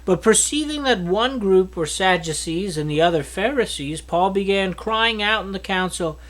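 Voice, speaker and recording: A man reading a Bible chapter aloud in a steady, earnest manner, with the odd slip and restart. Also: high-pitched, moderate pace, low-quality recording. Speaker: scripture reader.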